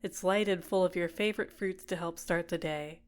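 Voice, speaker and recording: A woman playing a doting, possessive villain in an ASMR roleplay, speaking in a gentle, coaxing, soothing way. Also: on the low side, fairly even intonation, normal speed, close to an everyday voice. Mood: soothing, reassuring. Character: doting, possessive female villain caring for a captive. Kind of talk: ASMR roleplay, personal attention.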